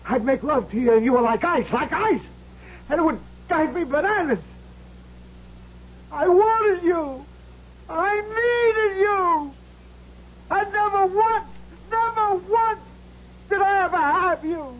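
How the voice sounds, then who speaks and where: An actor playing a jealous, vengeful gangster's ghost with streetwise slangy talk in an old radio drama. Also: male voice, extremely high pitch, slow, pauses often, a little hiss or hum. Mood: anguished, pleading. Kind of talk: 1970s radio drama acting. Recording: muffled old radio broadcast recording.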